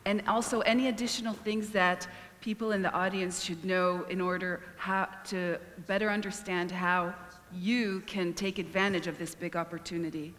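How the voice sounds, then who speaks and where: A woman's voice: fairly low pitch, fairly even intonation, a bit bright. Woman moderator, echoey hall. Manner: slow, hesitant questions. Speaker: panel moderator. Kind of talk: moderating a panel. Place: large conference hall stage. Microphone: handheld mic. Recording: roomy hall with long reverb.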